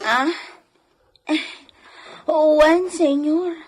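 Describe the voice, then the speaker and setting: An actress playing a worried, loyal household servant in a radio drama, anxious and deferential, with a Filipino accent. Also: female voice, sits high, steady tone, drawn-out words. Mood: flustered. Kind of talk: Ilocano radio drama acting.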